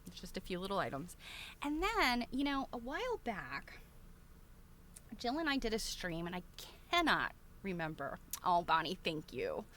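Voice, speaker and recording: A woman's voice: medium pitch, wildly swooping pitch, moderate pace, a bit bright, slight background noise. A woman chatting casually to regular viewers as a livestream host, rambling along in a conversational way. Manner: chatty, rambling, often breaks into laughter.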